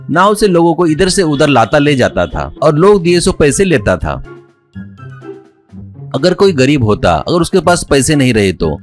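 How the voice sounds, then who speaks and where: A man narrating a scripted story as a storyteller. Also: on the high side.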